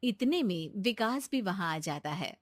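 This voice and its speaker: A woman's voice: mid-range voice, animated, measured pace, no room sound, studio quality. A woman narrating a scripted cartoon family story.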